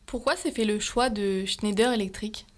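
A woman interviewer on a local program, asking clear and formal questions. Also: mid-range voice, moderate pace. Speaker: radio interviewer.

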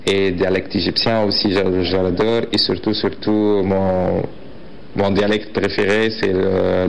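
A man introducing himself in a hesitant way, pausing and restarting often. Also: low voice, vocal fry, a little hiss or hum, rough recording. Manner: hesitant, frequent restarts and fillers. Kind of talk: personal introduction.

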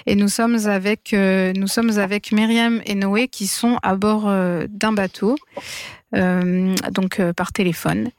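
A woman radio presenter introducing guests live in a halting, hesitant way. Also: mid-range voice, fairly even intonation, darkish tone, smooth.

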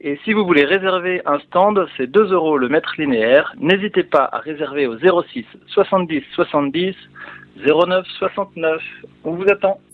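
A man's voice: fairly high pitch, moderate pace, no echo, phone-quality sound. A man reading out a scripted promotional event announcement. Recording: thin band-limited radio sound, dry.

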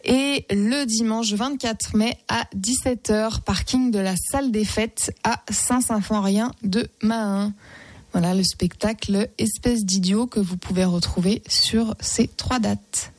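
A woman's voice: medium pitch, slow, no echo. A woman on local radio reading out films and shows in an easygoing way. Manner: easygoing, reading film and show blurbs. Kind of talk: reading out event listings. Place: radio studio.

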